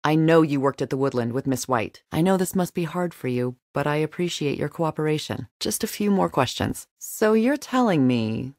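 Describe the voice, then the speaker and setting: Stern, low-voiced female police detective. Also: animated, moderate pace, few pauses, darkish tone, no room sound, clean studio sound. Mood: firm, probing. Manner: firm, probing, dryly sarcastic. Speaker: video game voice actor. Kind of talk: dramatic video game dialogue.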